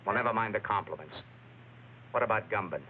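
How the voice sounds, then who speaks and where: An actor playing a brusque, excitable police boss, blustering and bossy, in old radio drama style on a thin, noisy recording. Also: male voice, on the low side, fairly quick, phone-quality sound, natural voice. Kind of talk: mid-century radio drama acting.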